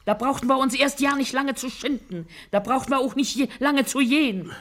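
A woman's voice: high-pitched, fairly quick, a bit bright. An actress playing a scheming, bossy working-class wife and mother in a radio play, scolding and wheedling in German regional dialect.

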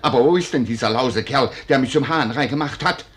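A man's voice: medium pitch, brisk, close to an everyday voice. An actor playing a very old, doting yet jealous nobleman husband in a 1940s German radio play, blustering and theatrical. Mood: furious.

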